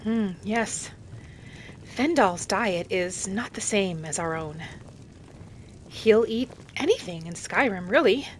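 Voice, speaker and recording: A woman narrating a fantasy game roleplay in character as a gentle young wood elf, with an unhurried, musing delivery. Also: medium pitch, animated, slight background noise. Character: gentle young female wood elf traveler, earnest and principled.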